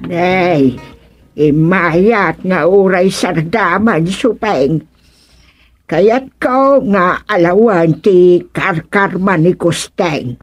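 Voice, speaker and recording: Very slow Ilocano radio drama character. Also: female voice, lowish voice. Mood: wistful. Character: woman character in a village radio serial. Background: plucked guitar music.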